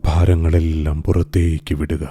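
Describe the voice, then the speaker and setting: A man guiding a scripted meditation in a calm, soothing, gentle manner, pausing deliberately between phrases. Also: very deep voice. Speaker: meditation guide. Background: music.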